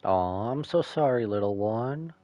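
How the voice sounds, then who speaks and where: Deep-voiced, animated male game streamer. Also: measured pace, highs cut off. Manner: sympathetic, emotionally reactive. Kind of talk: casual live game reaction commentary. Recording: faint game music behind.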